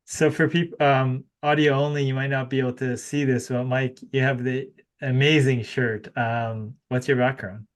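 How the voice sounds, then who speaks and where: A man hosting a podcast interview, asking relaxed questions with a lot of fillers. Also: mid-range voice, moderate pace, few pauses. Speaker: podcast host. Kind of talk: casual interview.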